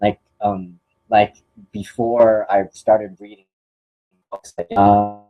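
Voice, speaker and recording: A man's voice: lowish voice, little pitch movement, slow pace, phone-quality sound. A young man from Indonesia in casual chat, rambling with many fillers and restarts, his English a bit British-sounding. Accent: slightly British-sounding English. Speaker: club member.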